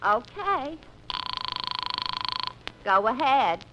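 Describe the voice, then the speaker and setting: A woman's voice: high-pitched, sing-song, very slow, muffled recording, exaggerated voice. A woman acting in a 1950s radio comedy as a wisecracking, unimpressed telephone operator with a sassy, dry manner. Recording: noisy old radio broadcast.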